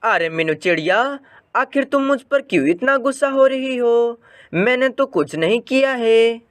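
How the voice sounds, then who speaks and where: Narrator doing a mild confused sparrow husband, cartoon story, smooth dry sound. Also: medium pitch, animated, a little slow, no room sound, natural voice. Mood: bewildered. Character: innocent puzzled male sparrow husband. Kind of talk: Hindi cartoon moral story dialogue.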